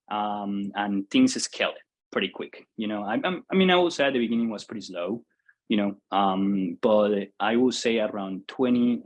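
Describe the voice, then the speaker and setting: Colombian-accented man in his thirties, Spanish native, lowish voice, halting and filler-heavy interview guest. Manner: hesitant, many fillers and restarts. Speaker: guest, software entrepreneur. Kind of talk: casual interview answer.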